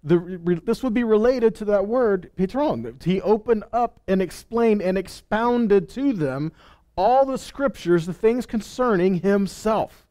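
A man's voice: high voice, animated, measured pace, mellow. Man teaching scripture on stage. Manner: conversational, asks the room questions, acts out quotes. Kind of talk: Bible teaching. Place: stage with purple lights. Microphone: headset.

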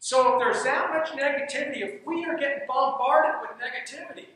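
Man, stage talk, bright voice, far from the mic, emphatic, repeats key phrases. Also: very high voice, fairly quick. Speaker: speaker on leadership and coaching. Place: dark stage in a large hall. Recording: echoey hall, heard from a distance.

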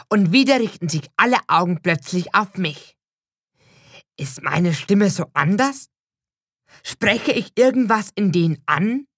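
A woman's voice: mid-range voice, a bit bright, no echo, studio quality. A woman reading a scripted first-person narration as a storyteller.

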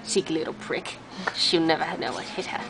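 Woman doing a cocky, lower-voiced puppet time traveler. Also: very animated, unhurried. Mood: muttering, scheming. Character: scatterbrained, cocky male alien time traveler. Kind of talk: amateur comic puppet show. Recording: noisy homemade camera recording.

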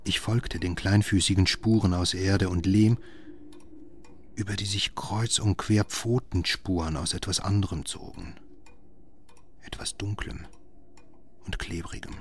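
A man playing a grim, haunted husband and father, narrating an audio horror drama in measured phrases. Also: low voice, steady tone, slight background noise. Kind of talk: scripted first-person horror narration.

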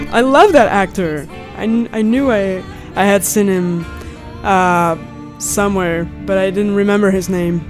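A young woman giving casual let's play commentary, musing aloud as she plays, close to a studio mic. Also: medium pitch. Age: young adult. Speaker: gaming video host. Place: indoors at home, seated close to mic. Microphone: studio condenser on stand. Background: game music.

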